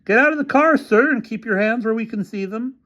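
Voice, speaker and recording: A man's voice: extremely high pitch, a little fast, fairly bright tone, highs cut off, voice pushed up. A man voicing a polite but firm male police officer giving orders.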